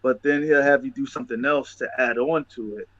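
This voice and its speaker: A man hosts over a video call and talks in an animated, repetitive way about faith. His voice is bright and forceful. The call audio is dull and lo-fi, with a dry sound. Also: mid-range voice, brisk, dry recording. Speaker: podcast host. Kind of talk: casual video-call chat.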